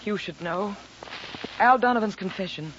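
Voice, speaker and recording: A woman's voice: medium pitch, moderate pace, close to an everyday voice. A woman playing a guarded, defiant widow in a 1940s radio crime drama, with a dramatic, cool and cutting delivery. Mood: agitated. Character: guarded, defiant wife of a murdered man. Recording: noisy, dull 1940s radio recording.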